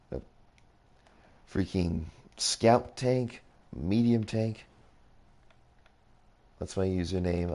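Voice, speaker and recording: A young man casually rambling through a game tour as a streamer, his voice a little raspy, often reacting with surprise. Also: low voice, mellow. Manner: rambling, easily impressed, mild swearing. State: slightly raspy voice. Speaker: gaming streamer. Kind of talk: casual game commentary while touring builds.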